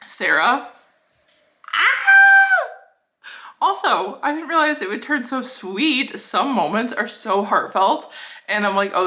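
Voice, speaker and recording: Gushing young woman in casual vlog chat. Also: fairly low pitch. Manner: gushing, animated, dramatic emphasis; frequent filler "like". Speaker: book reviewer vlogger.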